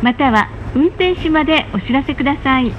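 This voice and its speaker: A woman's very high voice delivers scripted announcements on a train. She is polite and formal, enunciating each phrase in a measured way. The sound is dull and muffled, with clear rumbling train noise behind it. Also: lively intonation. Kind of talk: pre-recorded scripted public announcement. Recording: muffled, noisy onboard train speaker recording. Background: rumble of a moving train.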